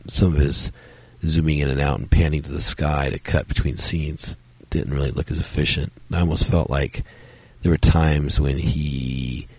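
Podcast host, man, husky and strained from a cold, dry close sound, loose rambling delivery. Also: fairly even intonation, normal speed, few pauses, darkish tone, dry recording. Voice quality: very deep, gravelly. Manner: rambling, many fillers and restarts. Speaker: podcast host reviewing films. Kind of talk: solo casual review talk.

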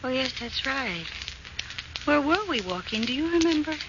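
A woman's voice: high voice, expressive, normal speed. An actress playing an anxious, devoted, rather flustered woman in a 1940s radio crime drama. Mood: anxious, pleading. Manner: flustered, solicitous. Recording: muffled old radio recording, clear noise.

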